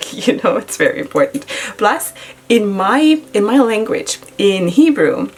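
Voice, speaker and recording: A middle-aged woman who speaks English as a second language chats casually through a makeup routine, giggling often and filling pauses with "you know" and "like". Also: medium pitch, very animated, slight background noise. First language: not English. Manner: chatty, giggly, many fillers. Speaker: beauty vlogger. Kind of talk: casual makeup tutorial chat. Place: home makeup setup in Israel.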